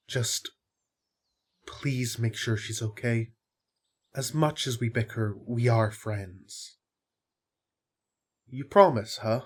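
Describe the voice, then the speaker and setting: A man playing a friendly, concerned tavern worker in an audio drama, with a husky voice and a casual, earnest manner. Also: on the low side, a little mellow. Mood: earnest, worried. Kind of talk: audio roleplay acting.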